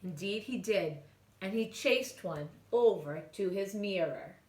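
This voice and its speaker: A woman reading a children's storybook aloud in an animated way, as if speaking to young children. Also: mid-range voice, a little slow, distant mic. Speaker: children's story-time reader. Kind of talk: expressive storybook read-aloud. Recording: echoey room, away from mic.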